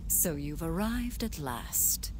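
A calm, mysterious woman of a fantasy game. She speaks formally in a somewhat low, measured voice, taking her time. Clear background noise surrounds the game audio. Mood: calm gravity.

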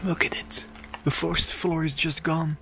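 An enthusiastic man talking his way around an abandoned villa in the woods, his voice sitting a little high. He rambles easily with fillers, recorded outdoors on a small handheld camera with clear music playing under him. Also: fairly even intonation, measured pace, whispered. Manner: chatty, enthusiastic, many fillers. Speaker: urban explorer vlogger. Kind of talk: casual walkthrough commentary. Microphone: handheld camera mic.

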